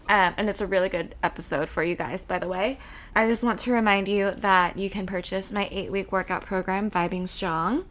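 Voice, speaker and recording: A woman's voice: medium pitch, fairly bright tone. Woman podcast host, casual and chatty, easy filler-heavy talk.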